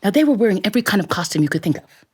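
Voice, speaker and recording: A woman telling a story live as a storyteller, with a theatrical, expressive delivery. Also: medium pitch, racing, slightly rough. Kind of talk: live storytelling performance for an audience.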